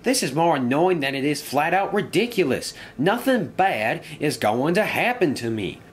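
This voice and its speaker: A man's voice: a little high, big pitch swings, moderate pace, voice pushed up. A man voicing a proud, irritable steam engine in a children's story, grumbling and dismissive. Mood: irritated, dismissive. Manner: grumbling, exasperated.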